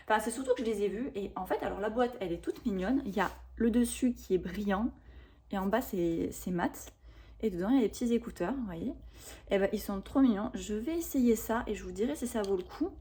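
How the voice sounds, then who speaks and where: A woman in her thirties chatting casually to camera about a shopping haul, rambling and expressive with lots of fillers. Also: on the high side, a little fast. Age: 30s. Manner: chatty and rambling, with frequent fillers and self-corrections. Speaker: vlogger reviewing a shopping haul.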